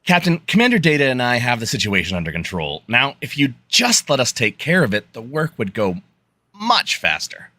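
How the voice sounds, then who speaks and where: A man's voice: medium pitch, very animated, moderate pace, bright, forceful tone, no room sound. A man playing an exasperated, hard-working starship engineer in a radio play, emphatic but straining to stay polite.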